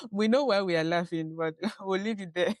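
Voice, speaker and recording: A woman's voice: mid-range voice, no echo, studio quality. A woman podcast host in a conversational interview, encouraging, often murmuring agreement and laughing easily.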